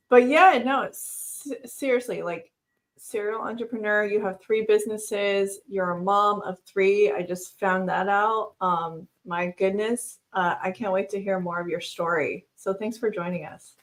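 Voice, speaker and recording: A woman's voice: medium pitch, a little slow. Bubbly woman hosting an interview. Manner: bubbly and effusive, laughs often. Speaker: host. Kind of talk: live interview show.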